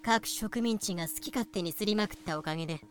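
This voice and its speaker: A woman's voice: medium pitch, fast, lightly acted. A woman acting a haughty wise wolf woman who sells slaves, using proud, old-fashioned courtly speech in a fan-made voice drama. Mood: matter-of-fact, scornful. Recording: music behind the voice.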